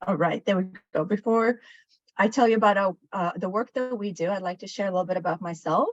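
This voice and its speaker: A woman's voice: medium pitch, fast talker, no room sound, dull audio. A woman from Sri Lanka, raised in Dubai, giving a webinar talk in a storytelling way, with many fillers and restarts. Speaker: guest presenter. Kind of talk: webinar presentation.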